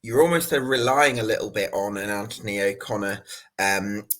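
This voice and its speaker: Low-voiced host with many ums. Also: male voice, fairly even intonation, moderate pace, fairly bright tone. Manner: filler-heavy, restarts questions. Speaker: podcast host. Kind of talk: casual podcast interview.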